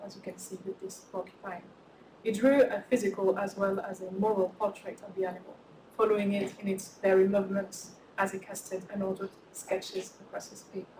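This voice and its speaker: A woman with a French accent, a native French speaker, presenting an academic paper at a symposium in a measured way. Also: mid-range voice. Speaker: conference speaker. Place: lecture room. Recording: echoey lecture hall, distant mic, noisy.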